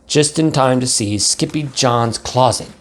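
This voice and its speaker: A man's voice: medium pitch, a little slow. A man reads a picture book aloud for young children. He is theatrical in his delivery and leans on key words, drawing them out for emphasis. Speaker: pastor reading a picture book to second graders. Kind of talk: animated children's storybook read-aloud.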